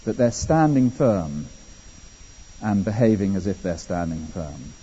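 An English man delivers a sermon with lively swings in pitch and a conversational touch. His voice is husky and mellow. The recording is muffled and rings with the long reverb of a large hall. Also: measured pace. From England. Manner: animated, conversational preaching with asides. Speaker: preacher. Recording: dull echoey hall.

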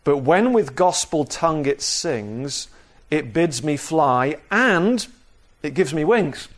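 A man preaching an expository sermon in a measured, explanatory manner, repeating key phrases for emphasis. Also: a little high, animated. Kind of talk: sermon, expository Bible teaching.

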